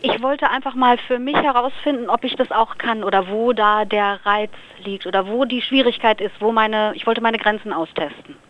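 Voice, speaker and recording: A woman from Lower Saxony answering questions in a relaxed radio interview, thinking aloud with small fillers. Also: moderate pace, few pauses. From Lower Saxony, Germany. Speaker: interview guest. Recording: slightly dull radio recording.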